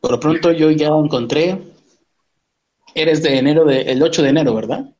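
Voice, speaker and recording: A man's voice: fairly high pitch, moderate pace, a little mellow, muffled recording. A man, chatting casually, asking questions and joking lightly. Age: 30s. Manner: conversational, asks questions, jokes lightly. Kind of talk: casual chat.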